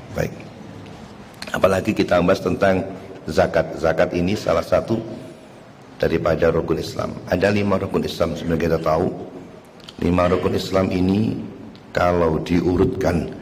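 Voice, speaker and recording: A deep, low-voiced man preaches in a slow, hoarse voice, his pitch staying flat and even. The sound rings out with long hall reverb, and faint ambient noise sits behind him. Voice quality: slightly coughing. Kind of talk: religious lecture. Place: mosque hall.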